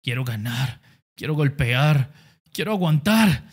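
A reader voicing a stubborn young male boxer, speaking low and steady. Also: slightly rough. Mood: pained. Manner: low, slow, steady inner monologue.

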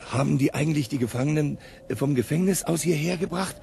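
A man's voice: fairly high pitch, fast talker, gravelly, a little mellow, a little hiss or hum. An actor playing a nervous, bewildered man in a radio drama, hesitant and stammering, often repeating his words. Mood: uneasy. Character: nervous, bewildered companion, unwilling visitor. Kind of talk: German radio drama acting.

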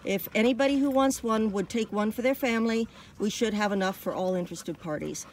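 A woman's voice: mid-range voice, fairly quick, darkish tone. A middle-aged American woman giving an informal safety talk to camera outdoors, as a presenter from a fitness department. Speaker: fitness department staffer presenting a dog hiking safety video. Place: outdoors in open desert by trails and a dirt parking lot. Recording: far from the mic, faint outdoor noise.

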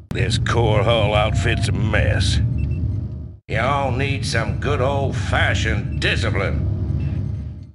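Impatient veteran general, Southern US accent, stern, folksy, swooping pitch, game voice lines. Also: male voice. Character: gruff, impatient veteran space marine general. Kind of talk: video game unit voice lines.

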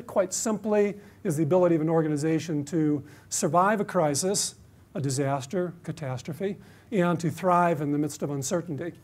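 Middle-aged American male academic, fairly high-pitched, hesitant conference speaker at a lectern. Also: darkish tone. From Detroit, USA. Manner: hesitant, many "uh" fillers and restarts. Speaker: university researcher giving a conference talk. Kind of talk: conference presentation. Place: conference stage with dark curtains.

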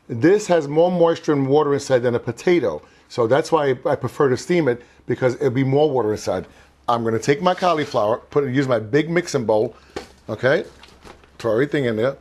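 A man's voice: medium pitch, darkish tone. A man in his fifties hosting an informal cooking demonstration in a home kitchen, chatty and often repeating and rephrasing himself, on a lapel mic. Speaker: cooking show host.

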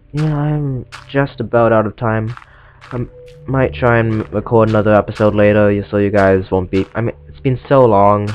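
Stuffy-nosed teenage guy rambling over gameplay. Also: lowish voice. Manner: rambling, self-deprecating and apologetic. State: stuffed up from a cold. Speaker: gameplay commentator. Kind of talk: casual let's-play commentary. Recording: lo-fi recording with faint game music.

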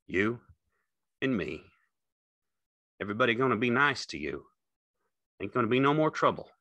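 A man's voice: medium pitch, sing-song, normal speed, pushed and bright, no echo, voice pushed up. A man playing a weary, protective, tender working man in an audiobook, speaking in a plain, folksy way. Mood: reassuring, tender. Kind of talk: audiobook character acting.

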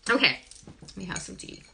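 A woman in her thirties with a hoarse, croaky voice, chatting casually through a live-stream reading in a small wood-paneled room, recorded on a phone. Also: mid-range voice, background noise. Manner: chatty, rambling, hums song lyrics. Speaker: spiritual reader. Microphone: phone camera at arm's length.

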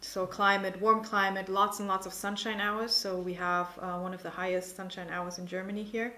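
A woman in her thirties being interviewed as a winemaker, explaining informally and pausing to think as she goes. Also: mid-range voice, level tone, pushed and bright, slight background noise. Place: indoor tasting room with wooden table. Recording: roomy, echoing indoor sound.

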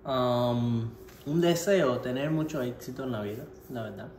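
A man's voice: medium pitch, a little slow, a little mellow. Male vlogger, twenties, Cuban accent, informal and playful, recorded in an echoey home kitchen. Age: 20s. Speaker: cooking vlogger. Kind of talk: casual question-and-answer vlog. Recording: echoey home kitchen, faint background noise.

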